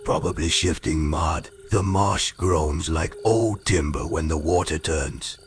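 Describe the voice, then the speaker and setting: Man as a stern orc with a bottomless bass. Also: slow pace, noisy background. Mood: dismissive. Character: stern, gruff male orc patrol warrior. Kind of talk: fantasy audio drama acting.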